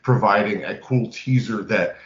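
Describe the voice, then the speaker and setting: A man's voice: on the low side, moderate pace. A man talking casually about tabletop gaming as a podcast host. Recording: roomy, slightly dull lo-fi audio.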